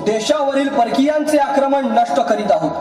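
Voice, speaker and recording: A man's voice: very high voice, lively intonation, normal speed. A male performer playing a young Maratha warrior-king, declaiming an oath phrase by phrase in a school stage play. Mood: solemn, resolute. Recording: echoey hall, far mic, loud crowd.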